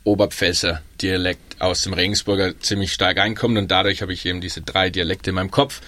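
A man's voice: deep voice, fairly quick, no echo. A young man with a Baden German accent, chatting casually on a panel with frequent ums. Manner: relaxed, chatty, many ums. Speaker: guest, pub worker in Melbourne.

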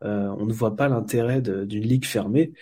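A man's voice: fairly low pitch, moderate pace, mellow, husky. A man from France, a volunteer football blogger, answering interview questions in a hesitant way, with many fillers and restarts.

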